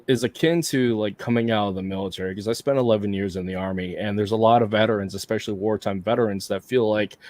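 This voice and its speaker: A man's voice: lowish voice, a little mellow. A middle-aged man from Hawaii hosting a casual podcast conversation, laid-back and reflective, often repeating himself as he thinks aloud. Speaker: podcast host.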